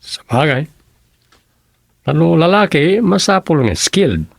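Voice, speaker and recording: Man playing creaky-voiced Ilocano village councilman. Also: a little high, very animated, unhurried, no room sound, exaggerated voice. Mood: conversational. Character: village councilman, folksy adult man chatting with townsfolk. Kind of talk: Ilocano radio comedy drama acting.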